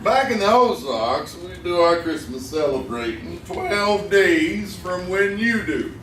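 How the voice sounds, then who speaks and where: A man's voice: high voice, very animated, slow, exaggerated voice. A man playing a folksy, earnest hill-country settler in a radio western. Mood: earnest, explaining. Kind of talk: live old-time radio western acting. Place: live stage in a hall with audience. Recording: far, echoey hall, loud crowd noise.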